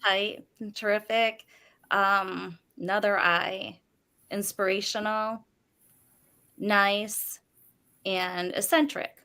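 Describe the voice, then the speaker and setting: A woman in her fifties from the Midwest, a longtime teacher chatting as a guest, laughing often and sounding excited. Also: medium pitch, slow pace, pauses often. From Midwest of the United States. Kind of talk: casual chat.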